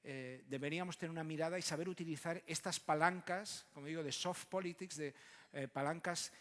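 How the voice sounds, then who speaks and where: Higher-pitched Spanish man in an echoey hall. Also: a bit bright. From Barcelona, Spain. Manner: halting, frequent tag questions. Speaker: panel moderator. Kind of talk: conference panel introduction. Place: large conference room. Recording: echoey hall with long reverb.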